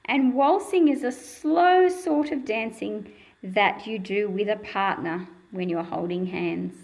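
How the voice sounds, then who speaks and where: A woman's voice: mid-range voice, creaky. A woman reading a picture book aloud to young children and explaining it in simple words, in a patient, teacherly manner.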